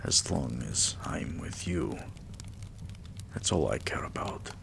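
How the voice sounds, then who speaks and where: A man playing an adoring, weary vampire king in a romantic ASMR roleplay, murmuring tenderly and intimately in a breathy, gravelly half-whisper. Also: rumbling low, expressive, takes it slowly, background noise, exaggerated voice.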